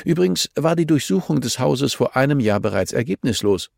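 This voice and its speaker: A man's voice: mid-range voice, expressive, fairly quick, gravelly, no echo, studio quality, voice pushed up. A man voicing a stern, reluctant male judge in an audiobook, speaking in a firm, admonishing way. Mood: skeptical.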